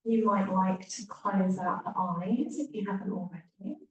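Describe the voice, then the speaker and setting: A woman teacher leading a guided relaxation meditation, speaking gently with long pauses and hushed phrase endings. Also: medium pitch. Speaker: yoga nidra teacher. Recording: echoey room, distant mic, faint hiss.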